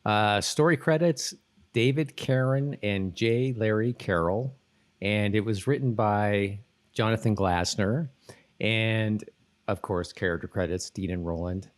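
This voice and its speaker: A man's voice: lowish voice, measured pace. A man co-hosting a podcast in a casual chat, informal and thinking aloud as he goes. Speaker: podcast co-host.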